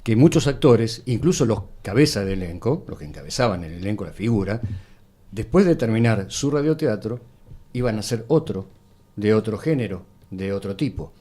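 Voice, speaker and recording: A man's voice: on the low side, expressive, normal speed, warm tone. A man with an Argentine accent explaining things casually in a radio panel conversation.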